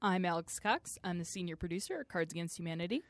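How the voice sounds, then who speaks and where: A woman co-hosting a casual tech podcast. She makes wry, deadpan jokes. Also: fairly low pitch, fast, no room sound, studio quality. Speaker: podcast co-host, senior producer. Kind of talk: casual chat.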